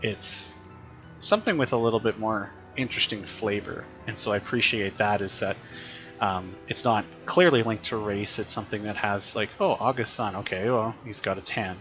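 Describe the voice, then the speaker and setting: A man, a teacher, chatting casually while playing a role-playing game, thinking aloud with frequent restarts and a slightly raspy voice. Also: lowish voice, moderate pace, a bit bright, muffled recording, soft background music. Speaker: teacher streaming games. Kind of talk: casual gameplay commentary.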